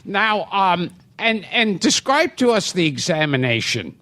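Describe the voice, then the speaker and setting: A man's voice: sits high, big pitch swings, unhurried, bright, forceful tone. A man hosting a podcast, laughing often and eagerly prompting his guest in casual comedic chat. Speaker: podcast host.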